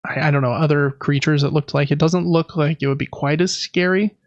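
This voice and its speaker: Man, podcast host, fairly high voice, casual gaming chat, dry slightly muffled recording. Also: fairly even intonation, brisk, no room sound. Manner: informal, conversational.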